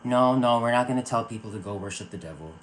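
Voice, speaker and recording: A man from Virginia hosting a spiritual live stream, talking earnestly and calming his viewers with a repeated "okay". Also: mid-range voice, fast, noisy background. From Virginia, USA. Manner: earnest, repeats words and restarts phrases. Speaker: psychic circle host. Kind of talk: live-stream spiritual talk answering chat.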